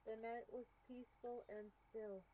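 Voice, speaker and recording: A woman streamer reading game text aloud with deliberate, expressive delivery. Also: fairly high pitch, slight background noise, low-quality recording.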